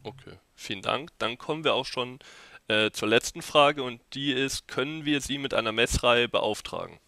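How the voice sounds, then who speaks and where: A man's voice: mid-range voice, normal speed, creaky, sharp tone, no room sound. A young man moderating a live Q&A over a headset, politely reading out audience questions. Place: indoors at a desk.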